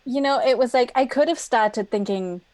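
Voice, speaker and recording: A middle-aged woman speaking as a guest in a live online talk, lively and earnest, laughing often. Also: fairly high pitch, normal speed, no room sound.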